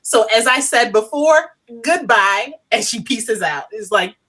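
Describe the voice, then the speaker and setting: Woman co-host, lively swooping pitch, emphatic chatty review talk. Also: a little slow. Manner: animated, emphatic, restates points. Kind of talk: casual review chat.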